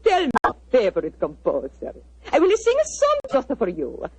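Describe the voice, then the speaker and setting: Dramatic italian opera diva, really high swoopy voice, grandiose, old radio play. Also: female voice, huge pitch swings, moderate pace, a little mellow, breathy edge, no echo, exaggerated voice, poor audio. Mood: coquettish, grandiose. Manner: grandiose, melodramatic. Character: theatrical, domineering, volatile Italian female opera singer. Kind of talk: 1940s radio drama acting. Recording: old 1940s radio recording.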